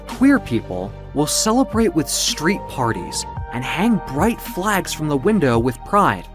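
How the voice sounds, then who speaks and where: A male storyteller with a mid-pitched, very lively delivery reads a scripted tale. His phrasing is theatrical and deliberate, and music plays behind him. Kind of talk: dramatic scripted storytelling of myth and adventure.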